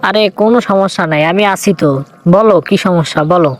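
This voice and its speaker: Fast-talking hot-headed cartoon boyfriend, played by a man. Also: sits high, no echo, close to an everyday voice. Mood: affectionate. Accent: Bangladeshi. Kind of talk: comic cartoon dialogue.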